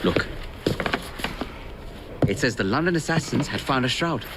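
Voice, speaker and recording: A man's voice: mid-range voice, animated, a little slow, heavy background noise. A man playing a bookish, polite, reluctant Victorian scholar in a video game. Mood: intrigued.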